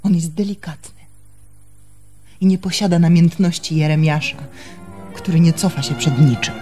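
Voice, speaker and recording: A woman's voice: on the low side, normal speed, warm tone, close to an everyday voice. Woman acting proud bitter barmaid in a radio play, accusing her fiance, music underneath. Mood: reproachful. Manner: accusing, emphatic.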